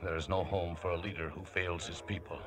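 A man's voice: sits low, little pitch movement, a little fast, crowd noise, close to an everyday voice. An actor playing a blinded captive strongman, devout and proud, in solemn, declamatory 1950s radio drama style. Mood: weary, solemn. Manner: solemn, declamatory biblical speech.

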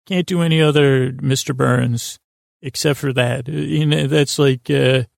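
A man with a creaky, gravelly voice hosting a sleep podcast in a meandering, halting monologue that keeps interrupting itself. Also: medium pitch, steady tone, no echo, clean studio sound. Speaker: podcast host.

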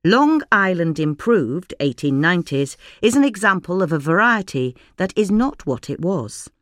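A woman's voice: lowish voice, very animated, normal speed, dry recording, clean studio sound. A woman reading a scripted article aloud as a narrator.

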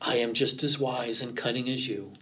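A man's voice: mid-range voice, steady tone, moderate pace, sharp tone, natural voice. A man voicing a proud, stubborn male rabbit chief in an animal folktale, insistent and demanding. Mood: boastful. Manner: defiant, insistent, boastful.

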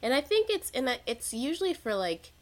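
A woman's voice: sits high, very animated, fairly quick. A woman in casual conversation who talks chattily, laughs often and acts out her own reactions. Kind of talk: casual chat.

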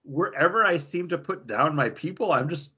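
A man's voice: a little high, lively intonation, normal speed, sharp tone, husky. A man talking casually and emphatically about games as a podcast co-host, with some swearing, on slightly dull audio.

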